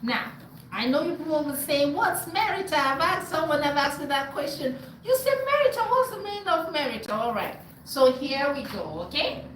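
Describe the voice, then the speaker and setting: A woman's voice: high-pitched, big pitch swings, normal speed, fairly bright tone. Emphatic, preaching woman in an echoey room. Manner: emphatic, preaching, repeats words as she builds a point. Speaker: host. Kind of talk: community discussion and storytime. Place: indoor group gathering. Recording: echoey room with faint noise.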